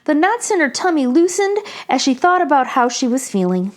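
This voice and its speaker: High-pitched, lively woman reading a children's story. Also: a little fast, soft background music. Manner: animated storytelling. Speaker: children's story reader, channel host. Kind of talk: expressive read-aloud of a children's picture book.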